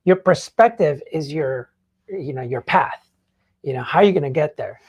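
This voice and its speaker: A man's voice: on the high side, expressive, normal speed, husky. A man speaks in a loose, conversational way, like a podcast host giving motivational advice. His explanations ramble informally, sprinkled with filler phrases as he works toward each point. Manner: informal, rambling explanations peppered with "like" and "you know". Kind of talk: casual motivational talk.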